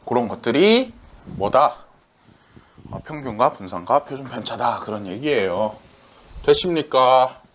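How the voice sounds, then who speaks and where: A man teaching a math class, lively, asking his students questions, answering them himself, and working the arithmetic aloud. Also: medium pitch, a little slow, long pauses, slight background noise. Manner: talks through arithmetic aloud.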